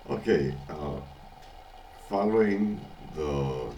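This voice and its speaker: Older man, hoarse, congested, extremely deep voice, slow halting talk, casual home video diary. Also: expressive, mellow, muffled recording, a little hiss or hum. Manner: halting, many restarts and fillers, chuckles often. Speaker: vlogger. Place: at home, early morning.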